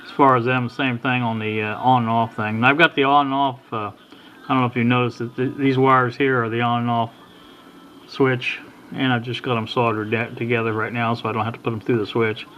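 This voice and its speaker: A middle-aged or older man casually talking through a hands-on repair at a workbench, in a halting, folksy, unhurried way. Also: medium pitch, little pitch movement. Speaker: hobbyist radio repair vlogger. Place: indoor workbench. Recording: dull lo-fi sound with background noise.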